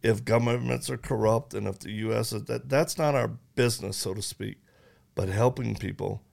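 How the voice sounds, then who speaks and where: A man's voice: lowish voice, steady tone, normal speed, vocal fry, darkish tone. A man hosting a conversation, telling a story earnestly and quoting others, with frequent restarts. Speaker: host. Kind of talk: conversational interview talk.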